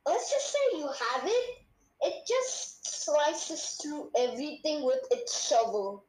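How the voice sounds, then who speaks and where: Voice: sits high, animated, slow pace, echoey room, poor audio. A child co-host chatting casually during a game. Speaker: podcast co-host.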